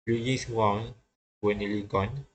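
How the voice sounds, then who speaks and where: A man reading visual novel text aloud in a halting way, often stumbling and repeating phrases. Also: on the low side, steady tone, poor audio. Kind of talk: halting read-aloud narration of game text.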